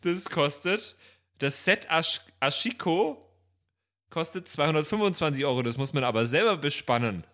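A man chatting casually and jokingly, excitable and amused. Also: on the high side, moderate pace. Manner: excitable, amused, breaks into laughter. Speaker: podcast player in an improvised audio play.

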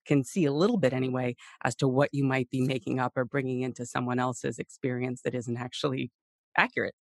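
A man hosting a podcast interview, feeling his way through questions in a musing, roundabout, halting manner. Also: medium pitch, fairly even intonation, vocal fry, dry recording, studio quality. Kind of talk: conversational interviewing.

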